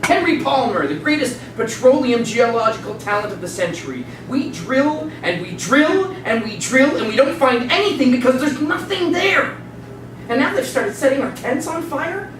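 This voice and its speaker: A woman's voice: high voice, animated, normal speed. A woman playing a weary, fed-up male right-hand man, terse and bitter, in a staged dramatic reading. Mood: exasperated. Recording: echoey room, distant mic, noisy.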